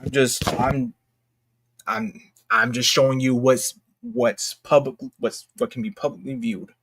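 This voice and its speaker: An American man giving casual, unscripted online commentary in a halting, repetitive, slangy way. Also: medium pitch, animated, gravelly, fairly bright tone, slightly rough, rough recording. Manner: halting and repetitive, slangy, addressing viewers as y'all. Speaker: online video commentator and host. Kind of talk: casual unscripted commentary, reading posts aloud.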